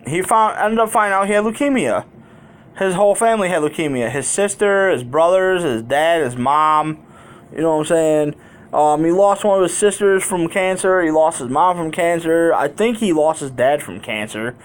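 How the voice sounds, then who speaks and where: A man's voice: fairly high pitch, normal speed. Casual, rambling man telling personal stories. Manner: rambling, candid, colloquial. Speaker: YouTube vlogger. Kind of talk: casual personal storytelling to viewers.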